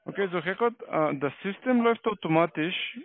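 Foreign-accented man, high voice, cold call. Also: lively intonation, fairly quick. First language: not German. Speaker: call-centre sales agent. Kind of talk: scripted phone sales pitch. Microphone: telephone. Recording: lo-fi muffled phone call.

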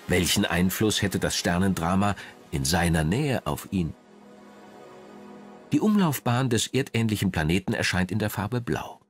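A man narrating a scripted documentary in a measured, dramatic storytelling manner. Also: low-pitched.

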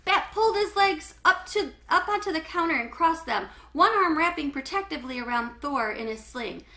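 A middle-aged woman reading a children's book aloud with animated, clearly phrased storytelling. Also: high voice, moderate pace. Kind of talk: expressive children's book read-aloud narration. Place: small home living room, indoors.

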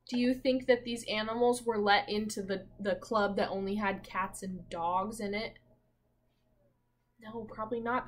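Slow-paced young woman teacher, higher voice. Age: 20s. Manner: simple questions, leaving pauses for answers. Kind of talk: picture-book read-aloud with questions to children. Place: small room at home, indoors.

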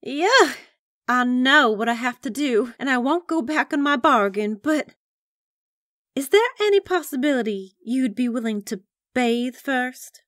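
A high-pitched, sharp-tongued young frontier woman played by an actress in a comic dub. She is bold and lusty, drawing words out slowly with big theatrical swoops. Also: animated, no echo, studio quality. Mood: reluctant. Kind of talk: comic book fan dub.

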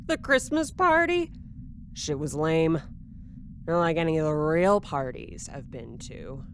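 A woman's voice: sits low, very animated, unhurried, a little hiss or hum, heavily acted. A woman playing a blunt, hard-partying security officer in an audio drama, with a casual, unimpressed drawl. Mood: dismissive.

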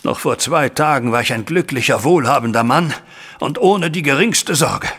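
An anguished older banker in a radio play. His voice is very hoarse and strained, with a bright, forceful edge. He swings dramatically from line to line like a man at his wits' end. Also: medium pitch, lively intonation, normal speed. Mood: despairing. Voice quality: husky, raspy. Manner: agitated, dramatic. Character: distraught older wealthy banker, anguished uncle and father. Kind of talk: German audio drama acting.

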